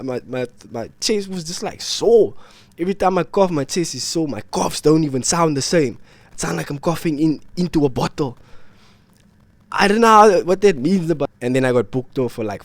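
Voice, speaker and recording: A man's voice: mid-range voice, dry recording. Slangy young man, sick. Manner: slangy, rambling, repeats himself. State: ill and worn out. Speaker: vlogger. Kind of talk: casual storytelling to camera.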